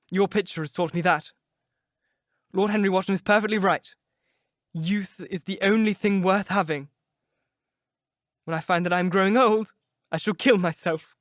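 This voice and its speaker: A man's voice: high-pitched, dry recording, clean studio sound, voice pushed up. A man voicing an anguished vain youth. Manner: impassioned, rising to anguished cries. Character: anguished vain young man, beautiful, fearing age. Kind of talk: reading of a classic novel aloud.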